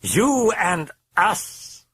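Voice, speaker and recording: A man's voice: high voice, wildly swooping pitch, extremely slow, a bit bright, slightly rough, dry recording, voice pushed up, heavily acted. A man voicing a malevolent, mocking demon possessing a girl, sardonic and taunting. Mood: mocking menace.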